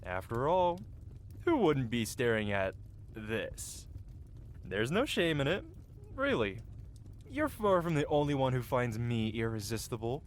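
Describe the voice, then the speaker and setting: A man's voice: mid-range voice, big pitch swings, a little slow, pauses often, a little hiss or hum. A man playing a cocky, flirtatious medieval blacksmith in a fantasy ASMR roleplay, with a playful, teasing and theatrical way of talking. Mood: cocky, flirty.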